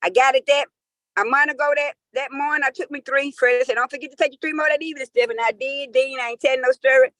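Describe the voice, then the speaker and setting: A woman, telling an animated testimonial full of acted-out dialogue. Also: high voice, fast talker, no echo. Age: 50s. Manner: lively, acts out quoted dialogue, emphatic. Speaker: caller sharing a testimonial.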